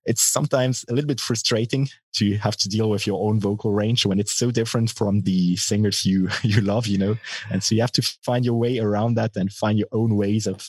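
A man with a bass voice giving thoughtful, measured answers in a casual interview. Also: a little mellow, husky, no room sound. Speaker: musician being interviewed, guitarist and singer.

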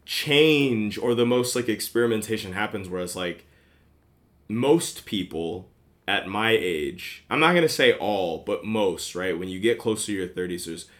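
A man's voice: on the low side, expressive, a little slow. Hesitant man in his late twenties. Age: late 20s. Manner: hesitant, lots of "like" and "you know", restarts. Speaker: podcast guest. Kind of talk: casual chat.

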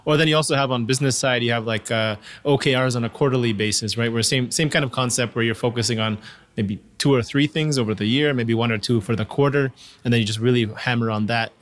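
Fast-talking, casual podcast host, a man. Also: medium pitch. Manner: casual and encouraging, with hesitant fillers. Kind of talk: conversational podcast interview.

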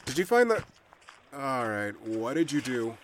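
An actor playing a fussy, anxious, rule-bound town guard in a fantasy audio drama, flustered and halting. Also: male voice, medium pitch, big pitch swings, slight background noise. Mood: wary, scolding.

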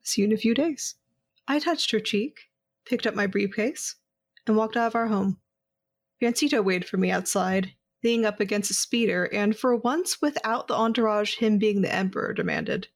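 A woman's voice: medium pitch, normal speed. A woman narrating an audio drama in the first person, with a dry, wry manner. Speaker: narrator and character.

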